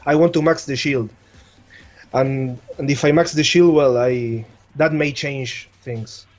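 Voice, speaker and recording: A man's voice: medium pitch, a little slow, darkish tone, muffled recording, soft background music. A man from Argentina with an Argentine Spanish accent, a native Spanish speaker, chatting hesitantly in English as a guest giving game advice. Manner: hesitant, repeats words while searching in English. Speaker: guest content creator.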